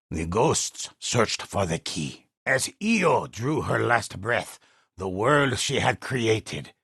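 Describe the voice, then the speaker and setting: Old male storyteller for a game: solemn, gravelly, dramatic narration with wide swoops in pitch. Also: a bit bright, no room sound, studio quality, exaggerated voice. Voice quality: husky, gravelly. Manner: solemn, ominous, theatrical.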